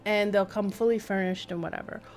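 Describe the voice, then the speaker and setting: A woman's voice: medium pitch, steady tone, a little fast, faint music behind. A woman giving casual live-stream game commentary, rambling as she thinks aloud, with wry asides.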